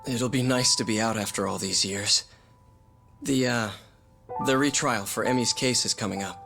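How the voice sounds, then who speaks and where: An actor playing a soft, grateful man awaiting release from prison in a video game drama. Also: on the low side, moderate pace, creaky, over music.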